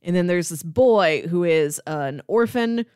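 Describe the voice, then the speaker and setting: A woman's voice: fairly low pitch, expressive, measured pace, darkish tone, clear, resonant tone, no echo, clean studio sound. A woman co-hosting a podcast, casually retelling a film's story in a conversational way. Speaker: podcast co-host.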